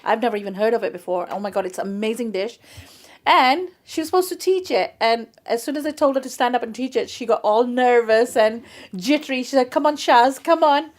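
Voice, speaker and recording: A woman, a guest telling stories in a casual conversation, chatty and animated and acting out what people said. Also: on the high side, brisk. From England. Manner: chatty, animated storyteller who acts out quoted speech.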